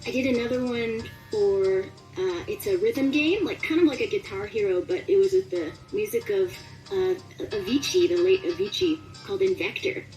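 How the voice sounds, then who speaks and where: A woman's voice: medium pitch, expressive, moderate pace, darkish tone, recorded from a distance, faint music behind, rough recording. A woman chatting casually and animatedly as an interviewed voice actor. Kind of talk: casual chat.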